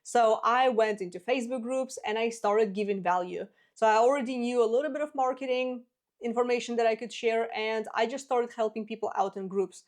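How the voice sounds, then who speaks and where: A confident woman in her twenties whose first language is Russian, handing out business advice on her own show. Her voice sits a little high, and she talks in a direct, practical way. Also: fairly quick. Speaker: business podcast host. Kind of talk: solo business advice talk.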